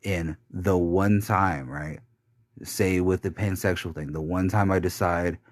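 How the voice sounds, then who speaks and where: A young man with a low, deep voice talks alone into the mic in a bitter, rambling confessional. He swears often and stumbles through restarts and fillers. The recording is dry and close, with no room sound. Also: fairly even intonation, no room sound. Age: young adult. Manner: halting, with many restarts and fillers. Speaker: podcaster. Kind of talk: confessional monologue.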